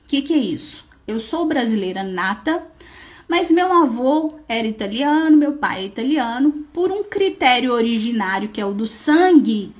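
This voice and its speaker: A high-voiced Brazilian woman teaches law over a live online stream. She explains with lively energy and often poses questions before answering them herself. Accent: Brazilian Portuguese. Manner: animated explaining, asks and answers her own questions. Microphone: phone or webcam, live video stream.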